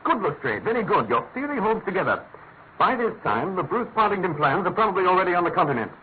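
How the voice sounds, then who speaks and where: Fast-talking detective, tinny recording. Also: male voice, sits high, sing-song. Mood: brisk, approving. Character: brilliant, brisk, self-assured male detective. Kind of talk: classic radio drama acting. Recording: noisy, tinny vintage radio recording.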